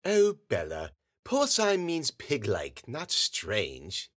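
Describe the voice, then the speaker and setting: A man voicing a posh older gentleman, an indulgent but sinister father, smooth and silky, with measured scolding. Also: medium pitch, wildly swooping pitch, no room sound, exaggerated voice. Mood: patient chiding.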